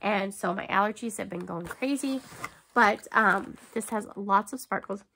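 A woman in her thirties, chatty and excitable, talking casually to camera at home while unboxing, with a stuffy nose and lots of ums. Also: medium pitch, a little slow, gravelly, noisy background. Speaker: book box unboxing vlogger. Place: bookshelf-lined room at home.

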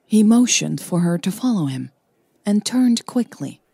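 A woman's voice: medium pitch, sing-song, measured pace, creaky, warm tone, breathy edge, no room sound, studio quality. A woman narrating scripted audio fiction as a storyteller.

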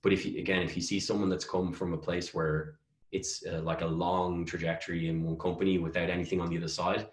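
A man's voice: deep voice, level tone, fairly quick. A man in his thirties giving informal, emphatic advice as an interview guest on a video call. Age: 30s. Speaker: guest, customer success executive. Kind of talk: conversational interview answers. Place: small bare room at home, on a video call. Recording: echoey room on video call.

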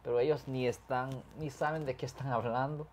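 Talkative California-born lawyer explaining law. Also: male voice, medium pitch, steady tone, a little fast, darkish tone, slight background noise. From California, USA. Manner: explains at length, mixes English words into Spanish. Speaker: criminal defense lawyer. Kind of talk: conversational legal explanation.

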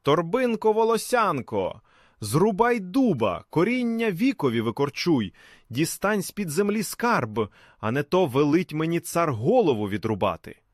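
A bold Cossack hero from a storybook folk tale, acted by a male narrator. He is courteous and free-spirited, with a very animated melody to his speech. The sound is dry and close. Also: a little high, a little slow, dry recording, pitched above the performer's own voice. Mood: urgent, commanding.

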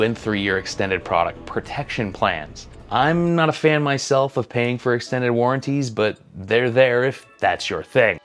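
Dry-toned man reviewing gear. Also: medium pitch. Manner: dry, matter-of-fact, mildly sardonic. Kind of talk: scripted product review. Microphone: lapel mic.

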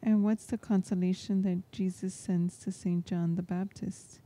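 A woman giving a live spoken translation of a homily as an interpreter, in halting phrases. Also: on the low side, steady tone, mellow. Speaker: interpreter voicing a priest's homily in English.

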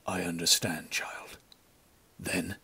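A man voicing a grim but gentle wandering swordsman in a storybook, murmuring softly. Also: little pitch movement, slow pace, vocal fry, breathy edge, no room sound, in a whisper, exaggerated voice. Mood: gentle, soothing. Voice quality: soft, low murmur.

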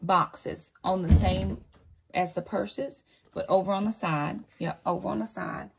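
Woman, 40s, home vlogger, chatty, gravelly, faint background hiss. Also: mid-range voice, fairly even intonation, a little slow. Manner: chatty, upbeat, says y'all. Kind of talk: casual chat to camera. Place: walk-in closet at home.

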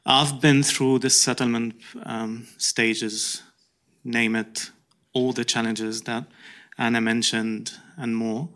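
A man from Syria, a settlement coach, speaking on a panel in a university seminar room, explaining step by step in a measured way. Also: medium pitch, little pitch movement, fairly bright tone. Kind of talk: panel discussion answer.

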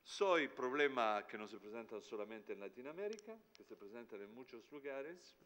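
A man in his sixties closing a formal conference talk, halting with filler sounds, seated at a table microphone in a large hall. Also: mid-range voice, lively intonation, a bit bright, slight background noise. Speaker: conference speaker.

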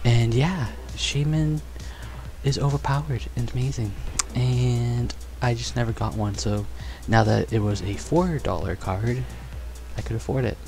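A young man chatting casually and excitedly while opening trading cards in a bedroom, on a headset mic. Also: medium pitch, a little slow, soft background music. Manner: upbeat, chatty, excited exclamations. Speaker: card collecting vlogger. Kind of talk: casual unboxing chat. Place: bedroom studio, indoors.

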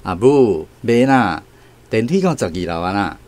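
Radio drama actor as grown son, Taiwanese accent: dutiful, coaxing, animated delivery. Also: male voice, fairly low pitch, moderate pace, natural voice. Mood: patient, reassuring. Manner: coaxing, explanatory. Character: dutiful, patient grown son coaxing his aged mother.

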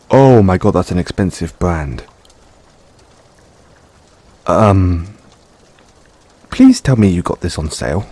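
A man's voice: on the low side, slightly rough, heavily acted. A man playing an affectionate, teasing middle-aged father doting on his younger boyfriend in an intimate audio roleplay, speaking playfully and fondly to the listener. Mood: excited, flustered. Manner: playful, fond, chuckling between unhurried phrases. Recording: steady rain noise in background. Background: rain falling.

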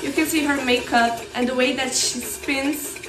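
High-voiced young woman, gushing. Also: moderate pace, echoey room. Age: young adult. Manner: excited, gushing. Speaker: YouTuber and streamer. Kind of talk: casual reaction video chat. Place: small decorated streaming room at home.

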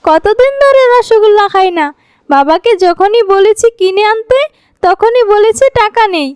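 A woman voicing a spiteful, haughty female villain in an animated fairy tale. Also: very high voice, normal speed, no room sound, clean studio sound. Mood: eager, smug. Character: vengeful, imperious fairy-tale queen, later a spiteful greedy girl.

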